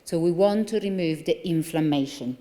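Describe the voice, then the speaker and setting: Italian woman doctor at a lectern, mellow lowish voice, hall reverb, earnest explainer. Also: normal speed. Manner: earnest, explanatory, with frequent fillers and restarts. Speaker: consultant neurologist. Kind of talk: conference lecture. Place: large conference hall. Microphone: lectern microphone. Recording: long reverb of a large hall.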